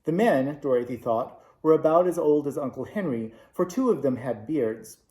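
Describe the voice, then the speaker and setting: A man reading a classic children's novel aloud, with measured, expressive narration. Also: medium pitch. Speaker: storybook reader. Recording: roomy echo.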